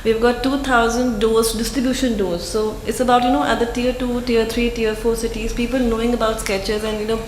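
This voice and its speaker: A woman's voice: on the high side, little pitch movement, brisk, hardly any pauses, echoey room, slight background noise, rough recording. A woman, speaking for a retail brand on a panel in a structured, businesslike way. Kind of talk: panel discussion.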